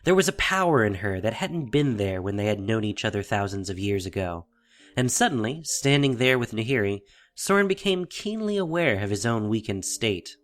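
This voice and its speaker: A man narrating a fantasy audio drama in a deliberate, theatrical storytelling style. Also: on the low side, sing-song. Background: music.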